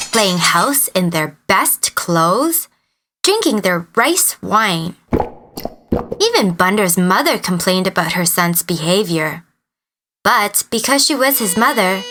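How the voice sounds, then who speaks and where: A woman storyteller reading a scripted children's tale expressively, with deliberate dramatic emphasis. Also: medium pitch.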